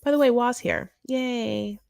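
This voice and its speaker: A woman's voice: fairly high pitch, unhurried, vocal fry, darkish tone, clear, resonant tone, no room sound, clean studio sound. A woman hosting a casual live recap chat, rambling and campy with lots of asides. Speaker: show recap host.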